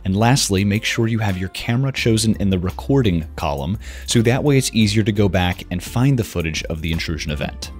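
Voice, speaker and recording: A young American man with a fairly low voice narrates a step-by-step tech tutorial. His delivery is casual yet scripted, spoken close to the mic, with faint music behind him. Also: animated, a little fast, few pauses, darkish tone, husky.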